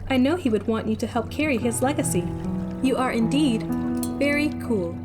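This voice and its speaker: A woman's voice: a little high, expressive, moderate pace, lightly acted. A woman voicing a polite, obedient female android in a sci-fi audio drama, speaking in a formal, dutiful, mechanical way. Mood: gentle. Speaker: android created by a doctor. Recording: loud music over the voice.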